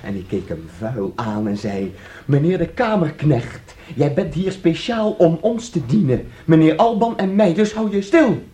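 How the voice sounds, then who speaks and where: An actor in a radio drama playing an excitable, childlike son who clings to his mama, emotional and pleading. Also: on the high side, measured pace, roomy sound. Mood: excited, proud. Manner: pleading, emotional, swings from glee to anguish.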